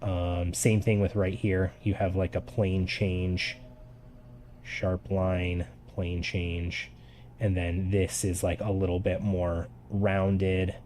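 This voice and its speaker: Chatty man, low voice, going raspy. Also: flat delivery, takes it slowly, darkish tone, slight background noise. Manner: loose and chatty, with many "like" fillers. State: voice getting raspy. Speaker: art streamer giving feedback. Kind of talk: casual live-stream critique. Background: faint background music.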